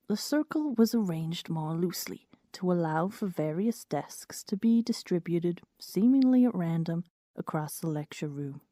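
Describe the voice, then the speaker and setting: Dark-toned woman reading a story, dry recording. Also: medium pitch, dry recording. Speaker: narrator. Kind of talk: scripted first-person story narration.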